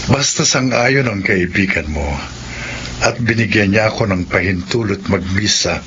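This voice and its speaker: A calm, helpful Filipino parish priest speaks in a low voice in a radio drama. The recording is muffled, with noticeable noise. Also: male voice, measured pace. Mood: calm, assuring.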